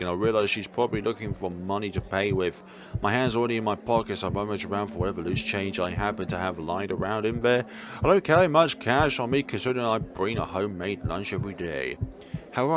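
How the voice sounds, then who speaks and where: A man reading visual novel narration aloud as a let's player, theatrical but stumbling over words and breaking into jokey asides. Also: sits low. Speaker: video game let's player. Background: game music.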